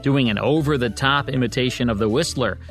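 A man's voice: medium pitch, brisk, faint music behind. A man with an American accent hosting a radio show in scripted, co-hosted commentary.

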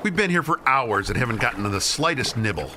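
A man's voice: medium pitch, big pitch swings, fairly quick, lightly acted. A man playing a grumpy, sarcastic amateur fisherman bickering in a comic radio play. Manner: sardonic, bickering. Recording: clear boat and water noise.

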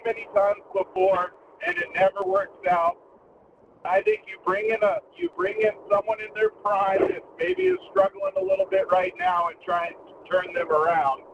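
An eager man calling in to a sports show, his voice high and lively as he argues his point. He comes through a muffled, noisy phone line, set back from the mic. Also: distant mic. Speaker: caller. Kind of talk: phone-in call.

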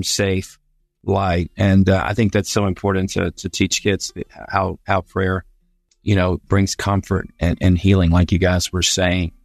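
A man's voice: on the low side, no echo. A man working as an interviewer, chatting informally and appreciatively as he comments and asks questions. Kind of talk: conversational interview questions and remarks.

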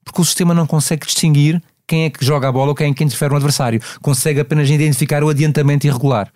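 Fast-talking Portuguese male refereeing analyst. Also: a little high, fairly even intonation, a little mellow, dry recording, studio quality. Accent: European Portuguese. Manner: didactic, poses and answers own questions. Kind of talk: conversational football analysis.

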